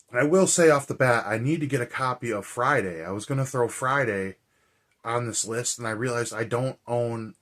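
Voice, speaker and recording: A man with a hoarse, raspy voice, chatting casually and rambling with lots of fillers as a movie vlogger. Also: mid-range voice. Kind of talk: casual chat.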